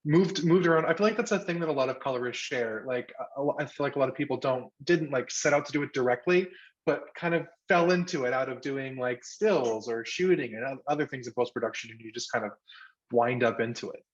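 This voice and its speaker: A man moderating an online panel, reacting upbeat and moving quickly to the next question. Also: mid-range voice, highs cut off.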